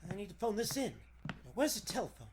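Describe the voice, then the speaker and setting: A man's voice: high-pitched, expressive, quick pace, background noise. A man playing a mild, timid, stammering newspaper reporter in 1940s radio serial style. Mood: mild, sheepish. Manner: stammering, hesitant.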